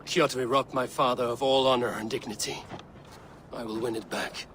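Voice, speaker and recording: A man's voice: medium pitch, fairly even intonation, normal speed, a bit bright, a little hiss or hum, lightly acted. Man acting a proud, vengeful Viking warrior. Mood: solemn resolve. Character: proud, vengeful young Viking warrior seeking to restore honor. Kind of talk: dramatic video game acting.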